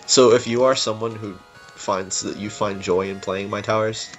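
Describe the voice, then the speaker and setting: A man's voice: fairly low pitch, steady tone, normal speed, dull audio. A man giving informal, rambling, unscripted commentary on a game level he built. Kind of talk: casual unscripted gameplay commentary. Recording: music behind the voice. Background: game music.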